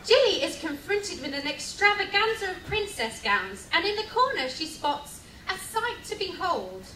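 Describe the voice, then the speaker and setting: A woman's voice: very high-pitched, very animated, normal speed. A woman giving scripted comic narration for an amateur live radio play, theatrical and playfully reading out stage directions. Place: public lounge on a cruise ship. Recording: echoey distant mic, crowd and noise.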